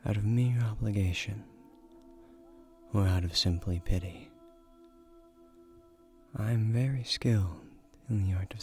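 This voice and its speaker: Casting: male actor as ancient tree guardian, male, romantic fantasy audio drama. Lowish, very slow delivery, formal, seductive, comforting, often near a whisper. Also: warm tone. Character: ancient male guardian spirit of a magic tree, tender, courtly suitor. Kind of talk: romantic scripted audio roleplay.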